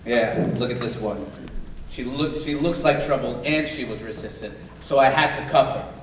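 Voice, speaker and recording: A distant, heavily echoing live stage recording. An actor plays a middle-aged prison guard who speaks in gruff commands and bullying warnings. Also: male voice, mid-range voice, normal speed, natural voice. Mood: weary, wry. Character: stern, bullying prison guard, ex-Marine in his forties. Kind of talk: staged reading of a stage musical. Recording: very echoey hall, distant mic, noisy.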